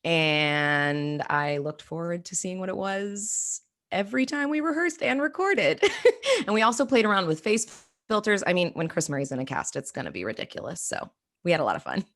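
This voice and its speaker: A woman's voice: on the low side, expressive, normal speed, a bit bright. A woman who acts in audio plays, chatting casually in an interview. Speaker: audio play actor.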